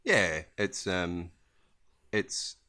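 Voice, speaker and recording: A man's voice: low-pitched, expressive, drawn-out words, pushed and bright. A man co-hosting a casual podcast, hesitant with many ums and a dry wit. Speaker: podcast co-host. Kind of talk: casual chat.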